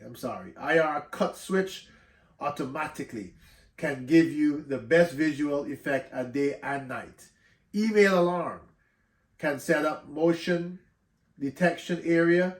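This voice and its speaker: A man with a slight cold and a stuffed-up voice, casually walking through an unboxing and reading product specs aloud before explaining them. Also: a little high. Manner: reads specs off the box, then explains in loose asides. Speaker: tech reviewer.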